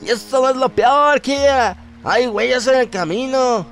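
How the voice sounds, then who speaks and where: A man's voice: very high-pitched, a little slow, fairly bright tone, slight background noise, voice pushed up, full character voice. A man voicing a terrified young farmhand who gives a panicked, gasping account in a horror radio serial. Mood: terrified, breathless. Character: terrified young farmhand boy reporting something dreadful.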